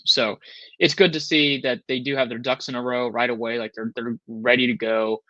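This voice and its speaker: Bright-voiced man in his thirties talking college sports. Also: mid-range voice, flat delivery, normal speed, no room sound, dull audio. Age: 30s. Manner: rambling with many restarts, frustrated. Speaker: podcast co-host. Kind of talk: casual sports talk show.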